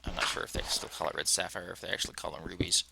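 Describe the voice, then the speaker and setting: A man casually talking to himself as he plays a game, rambling in a relaxed way. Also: low-pitched, rapid-fire, vocal fry, very noisy. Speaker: let's play host. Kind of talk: casual gameplay commentary.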